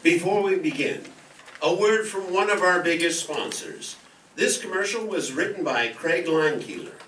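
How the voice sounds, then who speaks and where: A man's voice: sits high, normal speed. A man announcing scripted radio-show segments as master of ceremonies on a live stage. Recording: echoey live stage, distant mic, faint noise.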